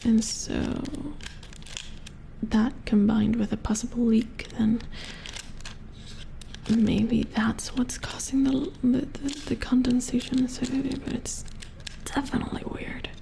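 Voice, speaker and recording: A woman playing a puzzled, mildly grumbling robot repair engineer in a soft-spoken ASMR roleplay, hushed and halting as if muttering to herself. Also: a little high, flat delivery, creaky, a little hiss or hum, heavily acted. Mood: puzzled, focused.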